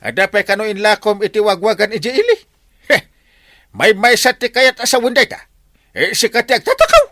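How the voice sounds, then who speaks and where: An actor with an Ilocano accent playing a boastful, bossy middle-aged village captain in a radio comedy drama. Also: male voice, high-pitched, sing-song, bright, forceful tone, no echo, clean studio sound, exaggerated voice. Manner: blustering, bossy, quick to laugh.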